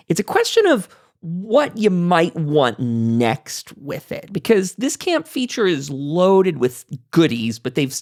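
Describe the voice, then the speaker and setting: Podcast host for a casual game roundtable, gravelly fry, effusive and emphatic delivery. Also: mid-range voice. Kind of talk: casual enthusiastic panel discussion about a video game.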